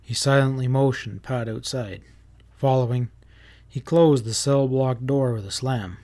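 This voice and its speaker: Mid-pitched man narrating a western. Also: a little slow, warm tone. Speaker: audiobook reader. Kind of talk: dramatic narration of a western novel.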